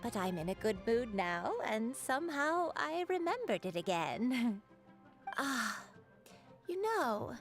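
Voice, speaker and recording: A woman's voice: a little high, gravelly, full character voice. A woman voicing a forgetful, childlike granny who looks young, wandering and dreamy, in a game. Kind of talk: game voice acting.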